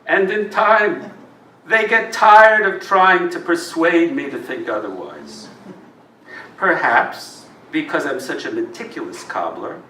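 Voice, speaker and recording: A man giving an expressive live poetry reading, phrasing each line deliberately and dramatically. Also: fairly high pitch. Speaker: poet reading own work. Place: live reading in a roomy indoor venue. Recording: roomy live recording, distant mic, noisy.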